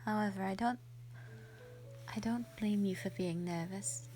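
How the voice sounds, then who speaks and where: Woman playing a slow-spoken, gentle shy dragon lady. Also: medium pitch, soft background music, lightly acted. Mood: soft, wistful. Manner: soft, breathy, hesitant, sweet and earnest. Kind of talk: roleplay audio drama, intimate one-sided dialogue.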